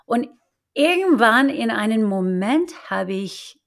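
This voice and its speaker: A middle-aged woman with an American accent and English as her first language, speaking German haltingly with many fillers and restarts as she tells her life story in an interview. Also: on the high side, very animated, creaky. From United States. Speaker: guest, actress. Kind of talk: casual interview, personal story.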